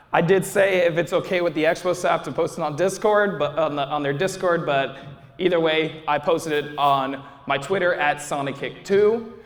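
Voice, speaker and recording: A man's voice: on the high side, fairly bright tone. Young man rambling at a convention panel. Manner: rambling, frequent restarts and fillers. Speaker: YouTuber presenting a convention panel. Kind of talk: casual live panel talk. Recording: audience recording with long hall reverb.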